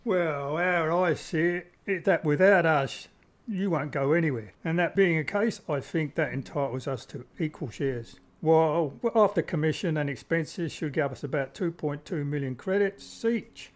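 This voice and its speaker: Man playing a cocky ship mechanic, highish voice. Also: normal speed, natural voice. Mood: haggling. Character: mercenary, haggling spaceship mechanic, cocky. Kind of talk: amateur sci-fi radio play acting.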